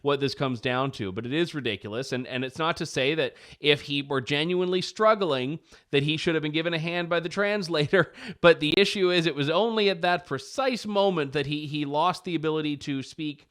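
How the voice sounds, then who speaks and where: A man's voice: fairly high pitch, fairly quick, hardly any pauses, a bit bright. A Canadian man hosting a political commentary show, talking in a wry, casual way. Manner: wry, casual with frequent "like" fillers. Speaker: host.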